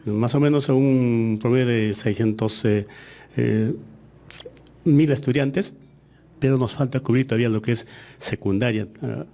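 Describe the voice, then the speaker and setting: A soft, mellow-voiced man whose first language is Aymara speaks as a government education official. He explains things step by step in a measured way and often pauses with hesitations. His pitch sits in the middle range. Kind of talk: interview answers.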